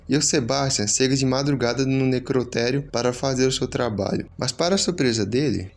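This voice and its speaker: A man's voice: medium pitch, darkish tone. A man with a Brazilian accent narrating horror story recaps in an informal, explanatory, conversational way. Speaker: YouTube narrator.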